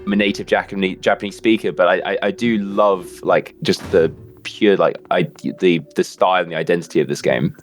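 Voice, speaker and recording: A man's voice: deep voice, fairly even intonation, brisk, faint music behind. A man co-hosting a livestream, chatting enthusiastically over gameplay with lots of fillers and restarts. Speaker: livestream co-host. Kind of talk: casual chat, commentary over gameplay.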